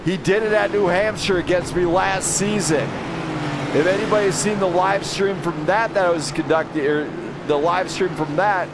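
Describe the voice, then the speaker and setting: A man calling a live sim race play-by-play, excitable and shouting driver names. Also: sits high, normal speed. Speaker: sim racing commentator. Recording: racing game engine noise behind. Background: car engine roar from the game.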